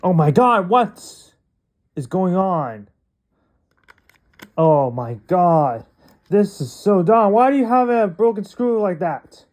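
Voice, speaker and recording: A male vlogger unboxing a toy, rambling and excitable, talking to himself as he goes. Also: sits high, slow, long pauses. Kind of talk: casual unboxing commentary.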